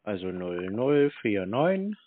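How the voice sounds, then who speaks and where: A man's voice: on the low side, big pitch swings, takes it slowly. A man in a phone conversation, speaking bluntly and insistently in a colloquial way. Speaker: caller confronting a call centre. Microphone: telephone line. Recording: tinny, narrow-band phone call recording.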